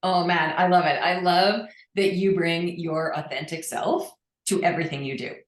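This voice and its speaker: A woman's voice: fairly low pitch, fairly even intonation, moderate pace. A woman hosting an interview, affirming her guest and asking questions with many fillers. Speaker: podcast host. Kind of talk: interview conversation. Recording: echoey room.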